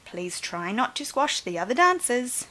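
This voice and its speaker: Woman as a grand, high-pitched lady dance teacher. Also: sing-song, moderate pace, pushed and bright, exaggerated voice. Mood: grand, commanding. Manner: theatrical, genteel, exclamatory. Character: grand, kindly but commanding female dance teacher.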